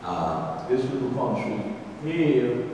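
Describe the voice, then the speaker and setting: A man's voice: medium pitch, expressive, takes it slowly, dark tone, slight background noise. Guyanese-accented man lecturing in a hall. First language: English. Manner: folksy and conversational, asks the audience questions. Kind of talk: public history presentation. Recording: distant, reverberant hall recording.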